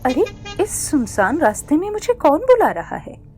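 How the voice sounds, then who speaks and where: A woman's voice: high voice, wildly swooping pitch, moderate pace, dark tone, a little hiss or hum, pitched above the performer's own voice. A woman voicing a smitten, gullible young village man in a cartoon story, eager and exclamatory. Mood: puzzled. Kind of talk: Hindi cartoon story acting.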